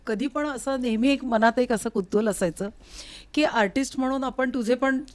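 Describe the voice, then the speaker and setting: Higher-pitched woman hosting an interview. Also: moderate pace. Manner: attentive, frequent short affirmations. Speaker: interview host. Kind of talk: conversational interview. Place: recording studio.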